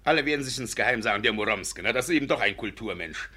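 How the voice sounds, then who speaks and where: A man's voice: lowish voice, animated, fast talker, sharp tone, husky, exaggerated voice. An actor in a German radio play, playing a pompous, doting middle-aged Russian landowner and father who is effusive and easily indignant. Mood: pompous, complaining.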